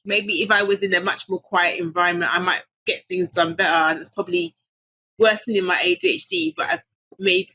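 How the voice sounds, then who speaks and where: Husky low-voiced woman from London, host. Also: animated, a little fast, sharp tone, dry recording, rough recording. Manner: chatty, laughs often between sentences. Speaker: podcast host. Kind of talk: casual conversation.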